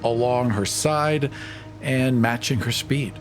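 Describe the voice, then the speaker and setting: A man's voice: medium pitch, takes it slowly. A man narrating as game master of a tabletop role-playing game, describing scenes and prompting the players. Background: background music.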